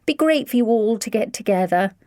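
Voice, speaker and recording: A woman's voice: fairly high pitch, animated, fairly quick, a little mellow, no echo, clean studio sound, natural voice. A woman voicing a posh, gracious upper-class lady who speaks in a languid, affected way.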